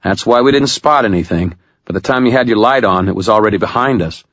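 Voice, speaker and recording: A man's voice: on the low side, fast, fairly bright tone, no room sound, muffled recording, voice pushed up, close to an everyday voice. A man voicing a wry, cocky male investigator with an amiable, sardonic manner. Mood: matter-of-fact.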